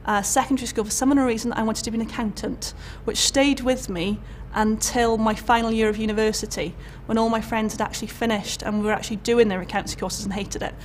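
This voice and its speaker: Welsh woman lecturer chatting away in an interview, lots of ums and restarts, mid pitch, faint room hum. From Wales. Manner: chatty, candid, many fillers and restarts. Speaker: college lecturer. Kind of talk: career interview. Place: indoor college room.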